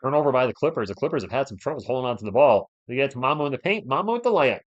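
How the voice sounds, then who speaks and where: A man's voice: medium pitch, expressive, racing, highs cut off. A man hosts a live sports stream while slightly under the weather. He mixes casual fan play-by-play with conversational replies to viewers, whom he greets by name. Playful asides are scattered through his commentary.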